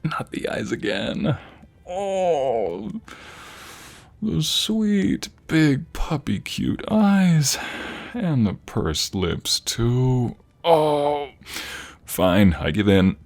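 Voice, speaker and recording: A man playing an affectionate, playfully teasing husband in an intimate ASMR roleplay, soft, husky and gravelly, with murmured coaxing and breathy whispers. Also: a little high, sing-song, drawn-out words, heavily acted. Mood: playful, mock-resisting. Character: affectionate, playfully teasing, sappy husband doting on his wife.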